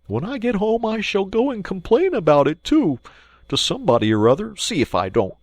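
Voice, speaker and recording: A fussy, prim mole, as a storybook reader puts him on, who lectures and grumbles with pettish precision. His voice rides higher than the narration and moves a lot. The sound is dry and close. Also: big pitch swings, a little fast, darkish tone, no room sound. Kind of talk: storybook read-aloud.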